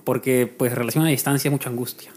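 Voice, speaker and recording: A man's voice: medium pitch, fast talker. A man hosting a podcast, chatting in an easy, reflective way about his life. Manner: relaxed storytelling, reflective. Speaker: podcast host. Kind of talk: casual chat.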